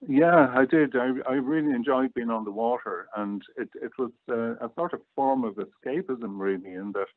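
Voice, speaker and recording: A man's voice: mid-range voice, moderate pace, dry recording. A middle-aged Irish man chatting casually as an interview guest, reminiscing over a call. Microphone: online call or phone line. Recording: muffled phone-like, dry online call.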